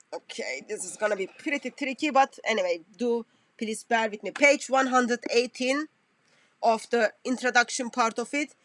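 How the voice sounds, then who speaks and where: Halting woman commentator, dry close sound. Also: sits high, no room sound. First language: not English. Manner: halting, self-correcting, non-native phrasing. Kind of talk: reaction commentary on a played clip.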